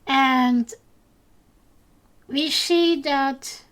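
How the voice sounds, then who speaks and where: A woman with a Dutch accent, a native Dutch speaker, hosting a solo podcast recap, unhurried and hesitant with frequent ums and restarts. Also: high-pitched, a bit bright. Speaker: podcast host.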